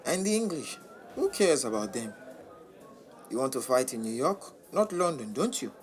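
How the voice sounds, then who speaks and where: An actor playing a calm, money-minded boxing manager who talks smoothly and reassuringly in an audio drama. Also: male voice, mid-range voice, moderate pace, natural voice. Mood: persuasive. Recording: clear background noise.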